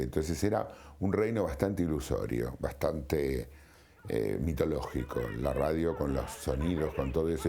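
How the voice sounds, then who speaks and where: A man in his eighties reminisces in a reflective, halting way, repeating phrases. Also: very deep voice, warm tone. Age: 80s. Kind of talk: reminiscing in an interview.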